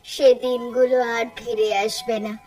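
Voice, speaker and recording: A woman's voice: fairly high pitch, level tone, unhurried, recorded from a distance, soft background music, natural voice. A woman playing a caring, nostalgic middle-aged village mother in a Bengali cartoon story, with a wistful, homely way of talking.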